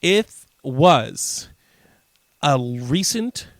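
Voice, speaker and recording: A man's voice: medium pitch, lively intonation, drawn-out words, no room sound, clean studio sound. A man co-hosting a radio show, trading casual local-news banter in a jokey, mock-serious way. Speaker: co-host of a community radio show.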